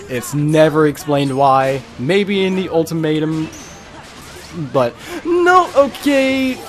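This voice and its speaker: A man giving casual, halting live game commentary, breaking off to exclaim at the game. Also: medium pitch. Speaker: gaming video creator.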